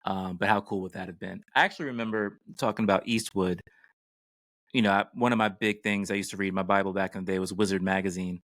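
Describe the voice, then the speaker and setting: A man's voice: low-pitched, fairly even intonation, quick pace, fairly bright tone, no echo. A man co-hosting a podcast from a home studio, talking in a casual, conversational way. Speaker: podcast co-host. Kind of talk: casual chat.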